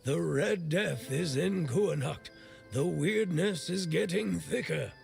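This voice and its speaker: An actor playing a gruff, irreverent old smith in a video game, with a gravelly voice and a blunt, sardonic way of talking. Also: male voice, on the high side, takes it slowly, darkish tone, faint music behind, exaggerated voice. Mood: wry storytelling. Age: older. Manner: blunt, foul-mouthed, sardonic. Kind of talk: video game character dialogue.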